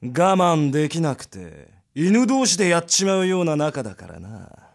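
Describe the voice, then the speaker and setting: An actor playing a cruel, overbearing man in authority in an audio drama, sneering and rough-spoken. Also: fairly high pitch, big pitch swings, moderate pace. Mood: taunting. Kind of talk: Japanese audio drama acting.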